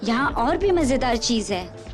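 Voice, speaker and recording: A woman's voice: a little high, very animated, unhurried, music in the background. A woman voicing a young female superhero captain in a dubbed cartoon, explaining things in an instructive, guiding way. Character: young female superhero team captain, brisk tour guide. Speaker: cartoon voice actor. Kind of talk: animated cartoon dub.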